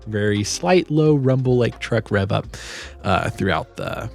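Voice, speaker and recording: A man's voice: on the low side, lively intonation, measured pace, vocal fry, soft background music. A man giving a casual, explanatory walkthrough as a sound designer presenting his work.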